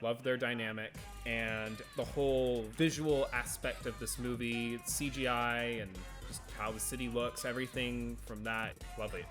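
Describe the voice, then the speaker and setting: A man's voice: medium pitch, fairly even intonation, normal speed, hardly any pauses, music in the background. A man giving a casual film review as co-host of a movie review show.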